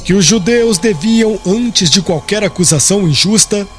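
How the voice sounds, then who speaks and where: A man reading scripted audiobook narration as an expressive, solemn storyteller. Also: high-pitched, moderate pace. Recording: music behind the voice.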